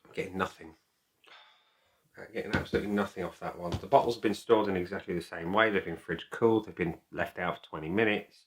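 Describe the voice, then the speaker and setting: A man's voice: sits low, fast, a bit bright. A man talking casually to camera as a beer reviewer, chatty, opinionated and emphatic. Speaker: beer reviewer and video host.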